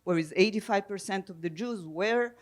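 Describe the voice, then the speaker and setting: A woman speaking spontaneously on a history panel, measured and precise as she searches for her words. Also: medium pitch. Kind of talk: spontaneous explanatory panel talk. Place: live public event with audience questions.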